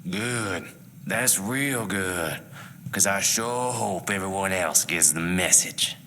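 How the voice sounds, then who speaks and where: A man's voice: low voice, takes it slowly, sharp tone, slight background noise, heavily acted. A man playing a menacing, smugly self-important drug dealer in a video game cutscene, with a pompous, preachy manner.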